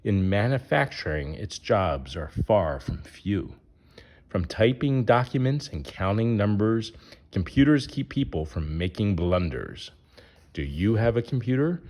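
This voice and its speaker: Low-voiced man reading with lively swings. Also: measured pace. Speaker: narrator reading a picture book aloud. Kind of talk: scripted read-aloud of a rhyming children's book.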